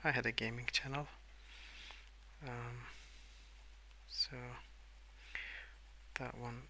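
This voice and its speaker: A young adult man softly rambling in a breathy half-whisper for ASMR, relaxed and unhurried, close to the microphone. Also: medium pitch, a little hiss or hum. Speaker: ASMR video creator. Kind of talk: soft-spoken ASMR rambling while colouring.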